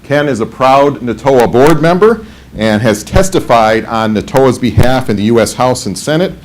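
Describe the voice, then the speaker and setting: A man's voice: mid-range voice, expressive, moderate pace, low-quality recording. Man reading panel introductions. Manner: formal introductions with frequent fillers. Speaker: panel moderator. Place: conference room.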